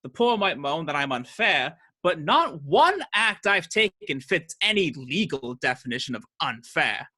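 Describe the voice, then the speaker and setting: An actor playing a pompous, menacing, domineering high sheriff in a radio play. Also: male voice, sing-song, measured pace, few pauses, pushed and bright, heavily acted. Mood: imperious. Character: haughty, menacing High Sheriff of Nottinghamshire, male.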